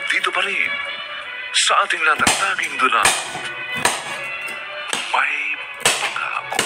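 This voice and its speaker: A man's voice: on the high side, takes it slowly. A man with a Filipino accent announcing a radio drama in a dramatic scripted style. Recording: announcement over loud music.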